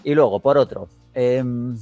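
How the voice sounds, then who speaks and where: A man's voice: mid-range voice, a little slow, dark tone, no echo. Castilian Spanish man, close dry sound over music. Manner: colloquial, slangy. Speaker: gaming podcast host. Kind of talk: casual chat.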